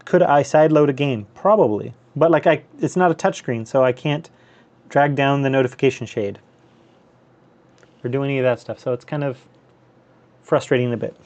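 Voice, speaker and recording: A man hosts a casual live gaming stream, talking loosely with plenty of fillers and restarts. His voice sits at a middle pitch. The stream audio is a little dull and lo-fi. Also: normal speed, long pauses, a little mellow. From North America, US and Canada. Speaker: handheld gaming streamer, host. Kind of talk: casual live stream chat over gameplay.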